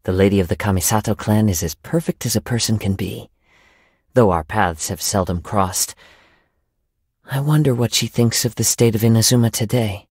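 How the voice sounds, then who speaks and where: A young man playing a gentle, poetic wandering samurai in a video game, soft-spoken and reflective, with formal, measured phrasing. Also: lowish voice, breathy edge, no echo, clean studio sound. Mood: solemn, thoughtful. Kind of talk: video game character voice lines.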